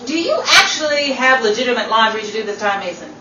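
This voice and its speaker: A woman's voice: on the high side, expressive, pushed and bright, lo-fi sound. A woman playing a haughty, sassy Hollywood starlet with arch, theatrical 1940s radio comedy delivery. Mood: wry. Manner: arch, theatrical, quick-witted. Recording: roomy, distant, very noisy amateur recording.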